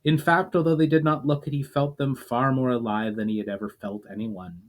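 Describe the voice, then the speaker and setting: Man narrating fantasy in measured phrases. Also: medium pitch, fairly even intonation. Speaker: author reading own fiction aloud, channel host. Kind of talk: dramatic audiobook-style fantasy narration.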